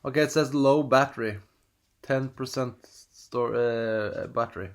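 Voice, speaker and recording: A man's voice: medium pitch, a little slow. A man from Norway with a Norwegian accent, chatting casually at home while unboxing, rambling and hesitant with many restarts, reading screen prompts aloud. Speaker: vlogger.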